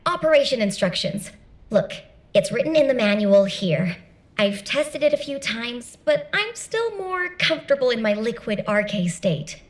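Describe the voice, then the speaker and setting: A woman's voice: a little high, very animated, moderate pace. A woman voicing a chatty, easygoing young female soldier giving a casual briefing in a video game.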